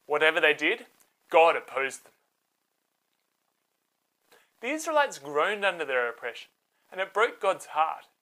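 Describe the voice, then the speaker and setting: Animated woman storyteller. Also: deep voice, moderate pace, low-quality recording. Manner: dramatic, expressive. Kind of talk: scripted children's Bible story narration.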